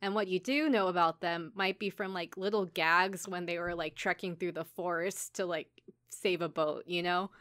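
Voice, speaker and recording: Clear-voiced woman chatting on a podcast. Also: fairly low pitch, steady tone, moderate pace, a bit bright, no echo. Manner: informal, acts out characters' lines in passing. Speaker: podcast host recapping anime episodes. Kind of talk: casual chatty recap.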